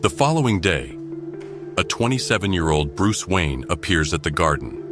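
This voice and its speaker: A man's voice: low voice, expressive, moderate pace. A man reading dramatic scripted narration for an audio drama. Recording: loud music underneath.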